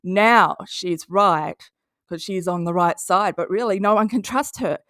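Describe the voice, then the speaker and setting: A woman's voice: mid-range voice, unhurried, a bit bright, no room sound. Blunt, mocking Australian woman, dry close sound. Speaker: commentary video host. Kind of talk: casual opinion commentary, reading posts aloud.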